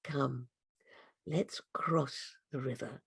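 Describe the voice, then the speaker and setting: A storyteller voicing a gentle, wise butterfly guide in a soft, calm, reassuring way. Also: medium pitch, very slow, vocal fry, husky, pitched below the performer's own voice, heavily acted.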